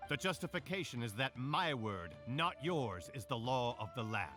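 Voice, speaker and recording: A man's voice: medium pitch, sing-song, normal speed, music in the background, lightly acted. An actor playing a stern, vengeful king in a video game's stage play, declaiming in a pompous, commanding way. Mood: imperious. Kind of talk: theatrical acting in a video game.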